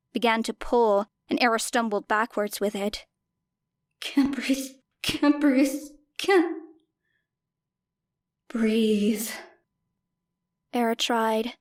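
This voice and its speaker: A woman's voice: on the high side, dry recording. A woman reading scripted fiction as an audiobook narrator, with dramatic delivery.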